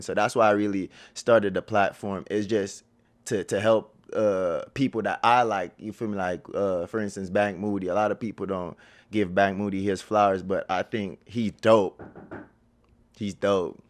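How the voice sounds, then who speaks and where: Jamaican guy talking slangy and relaxed, kinda low voice, interview guest. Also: normal speed. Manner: slangy, laid-back, leans on "you feel me". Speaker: guest, media platform owner. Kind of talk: casual interview chat.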